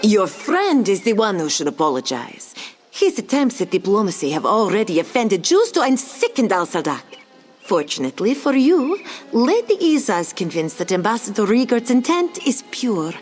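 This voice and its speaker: A woman playing a dignified female cat-folk spokeswoman for a noble in a game roleplay, formal, measured and dryly disdainful. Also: mid-range voice, very animated, darkish tone, faint music behind. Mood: offended, formal.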